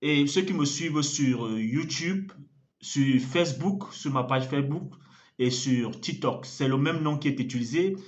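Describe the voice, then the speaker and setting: A man's voice: mid-range voice, unhurried. Earnest male evangelist, lo-fi sound. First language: African language. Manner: earnest, repeats phrases for emphasis, grows more fervent. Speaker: evangelist preacher. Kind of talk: online sermon to camera.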